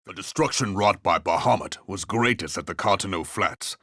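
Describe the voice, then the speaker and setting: An actor playing a stern, seasoned male general who speaks gravely in formal, old-fashioned phrasing, in a game cutscene. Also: deep voice, moderate pace, sharp tone. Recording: music score underneath.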